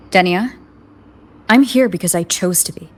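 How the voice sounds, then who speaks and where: An actress playing a serious, steadfast young woman adventurer in a video game, speaking in a measured, sincere way. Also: lowish voice. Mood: earnest.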